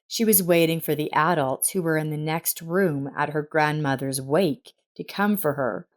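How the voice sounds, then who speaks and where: A woman narrates a scripted family story. Her voice sits a little low for a woman, and she reads as a storyteller would. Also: normal speed.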